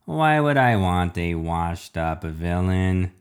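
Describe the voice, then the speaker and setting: Man voicing a slow, menacing robot refrigerator villain. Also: sits low, very animated, clean tone, exaggerated voice. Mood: cold, menacing.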